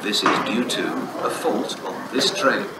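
A man reading automated train announcements in a slow, formal way. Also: on the low side, recorded from a distance. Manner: slow, formal, lilting delivery. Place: railway station platform. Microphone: station loudspeakers recorded from far off. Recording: very echoey platform speakers, loud noise. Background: loud station ambience.

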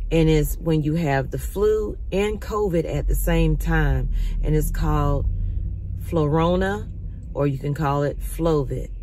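A middle-aged woman vlogging from a parked car, her voice still slightly raspy as she recovers from illness, talking casually to her viewers and calling them y'all. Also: deep voice, darkish tone, slight background noise, rough recording. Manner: chatty, addresses viewers as y'all. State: recovering, occasional cough. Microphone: phone camera at arm's length.

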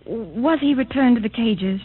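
High, intense anguished woman. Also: expressive, measured pace. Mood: anxious. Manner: emotional, intense. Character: defiant, anguished woman scientist in love. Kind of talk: 1950s radio drama acting. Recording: tinny old radio recording.

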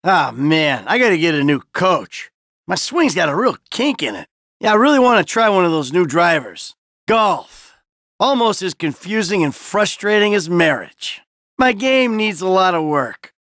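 An actor playing a weary, sardonic, hot-tempered middle-aged American criminal in a video game, grumbling and foul-mouthed. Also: male voice, high voice, big pitch swings, normal speed, fairly bright tone, dry recording, studio quality, exaggerated voice. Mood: frustrated. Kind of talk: acted video game voice lines.

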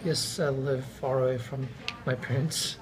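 A man's voice: medium pitch, level tone, unhurried, crowd noise. A twenty-year-old man giving short, plain interview answers.

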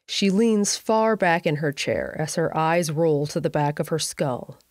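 A woman's voice: low voice, lively intonation, measured pace, darkish tone, dry recording. A woman reading a scripted sci-fi story as narrator, with wry, conversational asides. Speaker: narrator and writer of a sci-fi audio series.

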